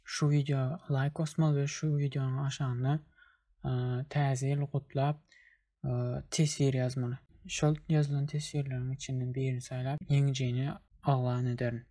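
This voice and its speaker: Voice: mid-range voice, level tone, normal speed, mellow. A Turkmen speaker hosting a language lesson, reading out translated phrases and making announcements.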